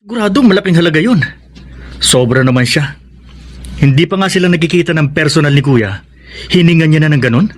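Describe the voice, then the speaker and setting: Concerned father, slightly high voice. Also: animated, fairly quick, long pauses. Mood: concerned, disapproving. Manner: exclamatory, concerned. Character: concerned father, disapproving and protective of his brother. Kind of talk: Filipino radio drama acting.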